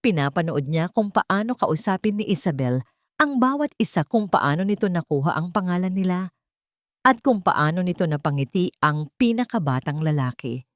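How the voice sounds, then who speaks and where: Low-pitched woman narrator with animated delivery. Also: dry recording, clean studio sound. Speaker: story narrator. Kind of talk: scripted dramatic narration of a romance story.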